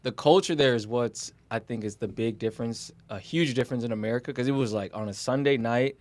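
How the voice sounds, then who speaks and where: A man hosting a casual podcast, slangy, swearing freely and reacting quickly. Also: medium pitch, darkish tone, no room sound. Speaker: podcast host. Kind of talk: casual chat.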